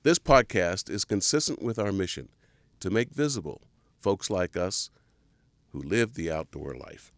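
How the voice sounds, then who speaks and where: A man's voice: low-pitched, a little slow, no room sound. A man hosting a podcast interview in a casual, upbeat way, sometimes stumbling over his words. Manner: upbeat, bursts of excitement, frequent restarts. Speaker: podcast host.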